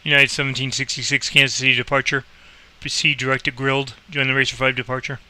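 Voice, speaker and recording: A man talks through a simulator game in a very bright, sharp voice that stays fairly flat in pitch. He is informal and rambling, thinking aloud between clipped controller calls, and his tone is clean and clear. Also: fast talker. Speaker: host explaining a game and playing air traffic controller. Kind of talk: casual screen-recorded game tutorial with radio calls.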